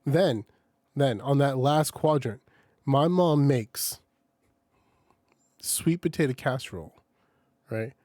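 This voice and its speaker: Dark-voiced man, chatty podcast host. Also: medium pitch, takes it slowly, pauses often, no room sound, clean studio sound. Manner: animated storyteller, swears often, laughs a lot. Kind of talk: casual chat.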